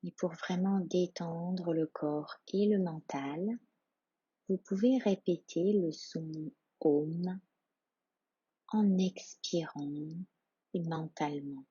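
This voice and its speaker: Low, breathy woman guiding meditation slowly. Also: no room sound, highs cut off. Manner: hushed, calm, soothing, with long pauses. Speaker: yoga nidra instructor. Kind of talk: guided meditation, soft slow instruction.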